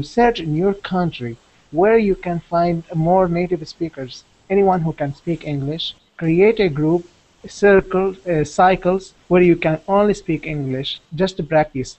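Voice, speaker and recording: Give me a male language student, slightly high voice, hesitant and searching for words over a muffled call. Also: unhurried, a little mellow. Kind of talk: self-introduction in class. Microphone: webcam video call. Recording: muffled video-call audio.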